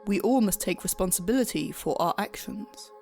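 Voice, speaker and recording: A woman playing a composed, detached grim reaper in an audio drama, speaking with stiff, measured formality. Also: mid-range voice, lively intonation, creaky, a little mellow, soft background music.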